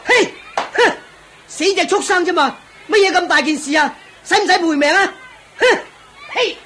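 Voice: very high voice, normal speed, noisy background. A performer playing an arrogant, spiteful young prince in a radio drama, sneering and combative. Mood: furious.

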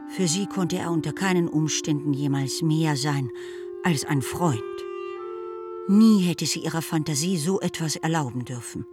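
A woman playing the composed narrator of a period novel in a radio play, telling it in a measured way. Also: fairly low pitch, natural voice. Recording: studio narration over clear music.

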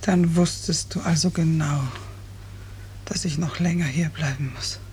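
Schwerkranke Frau, alte verrauschte Radioaufnahme. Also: female voice, deep voice, fairly even intonation, measured pace, darkish tone. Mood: bitter, reproachful. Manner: intense, reproachful, wistful. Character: seriously ill woman patient, bitter, searching, wanting the truth. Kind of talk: 1950s German radio drama acting. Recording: noisy early 1950s radio recording.

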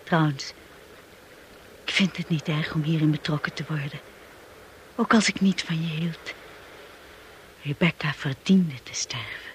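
A woman's voice: low voice, steady tone, unhurried, long pauses. An actress playing a devoted, steadfast young wife and lady of the manor in a radio drama. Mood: hushed, loving. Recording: noisy old radio play recording.

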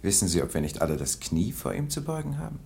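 A suave English lord in a radio play. His voice is fairly low and swoops expressively. He talks with polished condescension and an air of haughty entitlement. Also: sing-song, quick pace, creaky, darkish tone, a little hiss or hum. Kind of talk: German radio drama acting.

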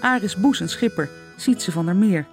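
A woman's voice: medium pitch, animated, normal speed. A woman reading scripted closing credits as a radio announcer. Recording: music playing under the voice.

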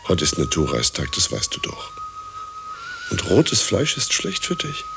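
An actor playing a fussy, vain, clingy husband in a radio play, coaxing and preening, easily stressed. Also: male voice, mid-range voice, wildly swooping pitch, unhurried. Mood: fussy scolding. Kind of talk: radio drama acting. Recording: audible background hiss.

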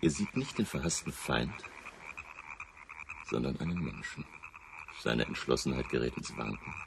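A grave, reflective hotel guest in a radio play. His voice is extremely low and creaky, with expressive rises and falls. He talks like a deliberate, fatalistic thinker. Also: noisy background, full character voice. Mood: brooding, grave. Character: brooding, philosophical male hotel guest, quietly fatalistic.

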